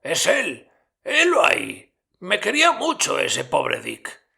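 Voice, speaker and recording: A man's voice: high voice, huge pitch swings, takes it slowly, creaky, pushed and bright, voice pushed up, exaggerated voice. An old miser from a storybook, stirred and emotional, put on by a male narrator. His voice leaps well above the narrator's own and rings out with raised, heartfelt exclamations. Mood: moved, excited.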